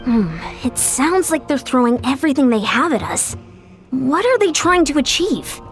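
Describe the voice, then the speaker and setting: A plainspoken young warrior woman in a game, with a bright, high pitch. She talks frankly and briskly, getting straight to the point. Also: lively intonation, faint music behind. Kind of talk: video game voice acting.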